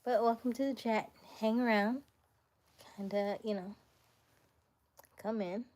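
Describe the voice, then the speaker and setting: A woman hosting a casual live stream, chatting playfully with viewers, laughing often and dropping to a soft whisper as she reads comments. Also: fairly high pitch, steady tone, unhurried. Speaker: livestream host.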